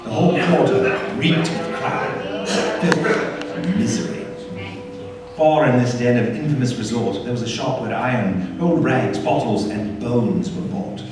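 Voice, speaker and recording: A man narrating a radio play as a dramatic scripted story, in a measured, theatrical manner. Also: mid-range voice, loud music behind the voice, natural voice. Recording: very echoey hall, distant, faint noise.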